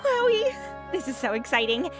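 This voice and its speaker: A woman playing a friendly, excitable young restaurant keeper in a video game, speaking in an eager way. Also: extremely high pitch, moderate pace. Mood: excited. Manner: eager, exclamatory. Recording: music behind the voice. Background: game music.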